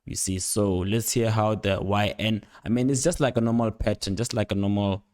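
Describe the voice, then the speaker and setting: A young man giving a casual, unscripted music production tutorial, rambling playfully in slangy speech with excited interjections. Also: lowish voice, moderate pace. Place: indoor home studio at a computer. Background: loud instrumental beat and instrument sounds playing.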